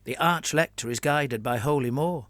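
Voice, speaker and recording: Man doing a scheming priest, measured, rambling, placating, dry sound. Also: medium pitch, a bit bright, no room sound, lightly acted. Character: evasive scheming male priest, calm and wordy.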